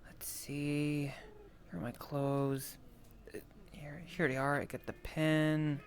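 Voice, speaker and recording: A woman with a very low voice speaks casually in character in an online roleplay. The voice chat recording carries faint noise. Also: level tone, takes it slowly. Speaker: roleplay player.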